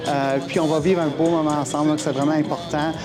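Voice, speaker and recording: A man with a Franco-Ontarian French accent answers hesitantly with many fillers. His voice is slightly high. Music plays under him. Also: little pitch movement, quick pace. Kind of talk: interview answers.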